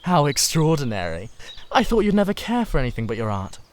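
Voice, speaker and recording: A man's voice: fairly high pitch, sing-song, a little fast. A man voicing a languid, witty aristocrat who speaks in teasing, cynical epigrams for a dramatised storybook reading. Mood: curious, teasing. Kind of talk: dramatised literary audiobook reading.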